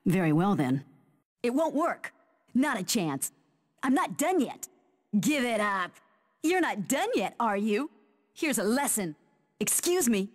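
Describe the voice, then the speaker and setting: A woman's voice: a little high, big pitch swings, unhurried, pauses often, in a large hall. An actress playing a fierce female fighter who taunts opponents in haughty, theatrical battle lines for a video game. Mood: confident, challenging, then combative. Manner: haughty, theatrical, clipped declarations.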